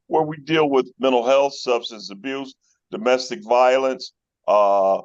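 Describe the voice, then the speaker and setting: A middle-aged man from with a mid-pitched voice. He talks as a guest on a group call, friendly and rambling, with frequent fillers. The sound is a bit dull, like call audio. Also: a little slow. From Chicago North Side. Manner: friendly storyteller, many fillers. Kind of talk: casual phone call chat.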